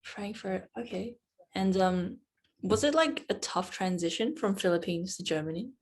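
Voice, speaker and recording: A woman's voice: mid-range voice, animated, normal speed, breathy edge. A woman interviewing a guest in casual chat, encouraging and repeating short replies like "cool, cool".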